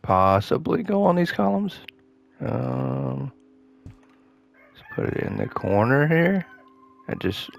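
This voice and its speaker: A man giving casual gameplay commentary, thinking aloud to himself as he plays, his voice slightly hoarse. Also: lowish voice. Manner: relaxed thinking aloud, muttering to himself while playing. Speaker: gaming host playing a renovation game.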